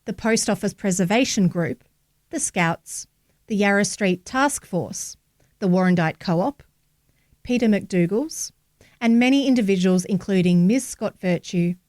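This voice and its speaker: A woman's voice: medium pitch, measured pace, darkish tone, no echo, studio quality. A woman reading a local newspaper article aloud as scripted narration.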